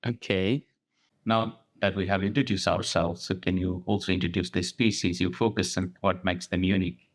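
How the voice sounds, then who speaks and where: A young man with a Nepali accent, a conservation researcher explaining his work in halting, thoughtful sentences with restarts. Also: sits low, steady tone. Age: young adult. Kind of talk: casual conversation.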